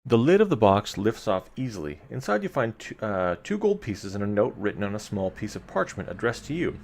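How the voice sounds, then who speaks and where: Low, gravelly man reading an adventure aloud. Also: big pitch swings, a little fast. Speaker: live-streamer reading a gamebook aloud. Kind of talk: live gamebook read-aloud with casual asides to viewers.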